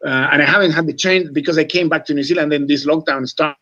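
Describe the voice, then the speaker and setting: Man in his 40s from Chile, English not his first language: earnest, repeating coach, higher-pitched, lo-fi line. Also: steady tone, fast talker, fairly bright tone. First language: Spanish. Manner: earnest, repeats words, says mate often. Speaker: rugby scrum coach. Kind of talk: radio interview.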